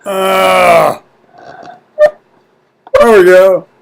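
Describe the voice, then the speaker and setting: An American man video blogging at home in a halting, folksy, rambling way, muttering to himself and reading to viewers. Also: high voice, steady tone, gravelly. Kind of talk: rambling casual chat with scripture reading. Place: at home, indoors.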